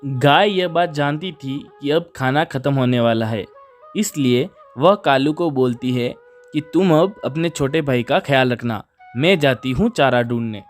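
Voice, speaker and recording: A man's voice: mid-range voice, unhurried. A man narrating a scripted children's moral story. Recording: music under the voice. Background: background music.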